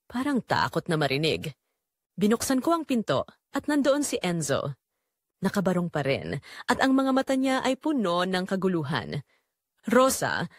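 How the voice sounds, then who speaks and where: A woman with a Filipino accent narrating a scripted drama in the first person, measured and emotive with pauses. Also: mid-range voice, no room sound, clean studio sound. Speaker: storyteller.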